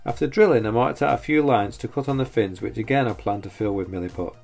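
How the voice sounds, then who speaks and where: A man's voice: lowish voice, quick pace, creaky, a little mellow. A man in his forties, host of a woodworking channel, giving a plain, matter-of-fact scripted voice-over that is openly self-critical about his work. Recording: voice-over with faint music behind.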